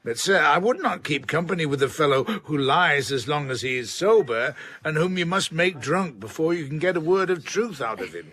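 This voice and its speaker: Blunt, bright-voiced, animated man of letters. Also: on the high side, moderate pace, hardly any pauses. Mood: opinionated. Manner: emphatic, argumentative, pronouncing. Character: blunt, witty, domineering older man of letters. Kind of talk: period radio drama acting.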